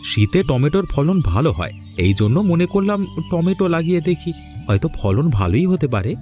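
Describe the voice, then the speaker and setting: A man's voice: on the high side, sing-song, normal speed, warm tone. A man playing a plain-spoken, pushy village farmer in a scripted cartoon story, with music under the voice. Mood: friendly.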